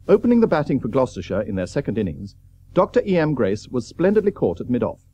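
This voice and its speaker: An actor narrating a period cricket almanac in a radio play, formal and measured. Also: male voice, mid-range voice, very animated, mellow. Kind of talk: scripted radio drama narration. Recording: hissy old radio broadcast recording.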